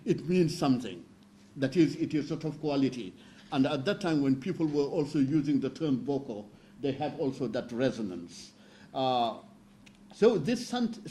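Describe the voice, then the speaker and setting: A man from northern Senegal speaking as a panelist at a roundtable, explaining slowly and carefully with fillers and restarts. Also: medium pitch, dark tone. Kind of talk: roundtable talk.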